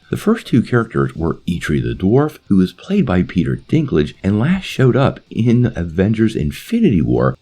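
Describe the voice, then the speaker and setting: A man's voice: low-pitched, gravelly, dark tone, husky. A man hosting a video commentary, talking directly to viewers as a channel host. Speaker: host of a comic book movie channel.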